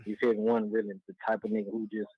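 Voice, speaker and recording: A man in his twenties from Cleveland, Ohio, calling into a casual chat show with a gravelly, older-sounding voice, rambling and repeating "like I said" and "you feel me.". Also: on the low side, monotone, very fast, dry recording, narrow, tinny audio. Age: 20s. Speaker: caller.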